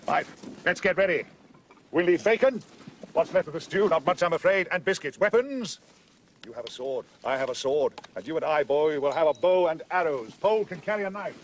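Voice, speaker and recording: A gloomy marsh creature, acted by a man, speaks over noisy ambience in a somewhat raised male pitch. He is a doleful, deliberate pessimist from a fantasy audio drama, forever expecting the worst. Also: animated, close to an everyday voice. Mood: brisk, dour. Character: gloomy, lugubrious marsh-wiggle guide, dourly fatalistic grown male creature.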